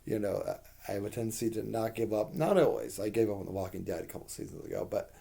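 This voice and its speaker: This is a man whose voice is hoarse and rough, sitting low and dark with a gravelly edge. He rambles through a casual review, piling up fillers and the odd swear word as he speaks his mind. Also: quick pace.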